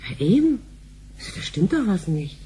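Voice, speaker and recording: A woman's voice: medium pitch, very animated, moderate pace, mellow, slight background noise, close to an everyday voice. An actress playing a sceptical, teasing mother in her thirties, in conversational radio play style.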